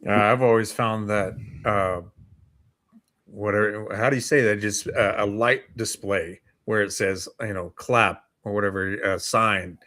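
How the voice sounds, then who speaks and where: A man with a fairly low voice chats as a co-host. His talk is casual and a little hesitant, often pausing to find the right word. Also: fairly even intonation, bright, forceful tone. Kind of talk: casual chat.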